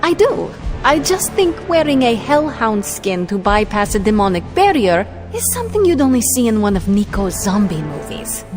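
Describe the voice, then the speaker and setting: An actress playing a sardonic, blunt, fiercely loyal superheroine in a video game cutscene, with a wry manner. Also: female voice, mid-range voice, sing-song, moderate pace, few pauses, close to an everyday voice. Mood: skeptical. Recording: clear music behind voice.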